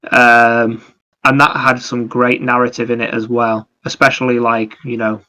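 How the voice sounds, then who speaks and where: Bright-voiced man, evenly pitched. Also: level tone, moderate pace. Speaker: podcast co-host. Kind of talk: casual video game discussion.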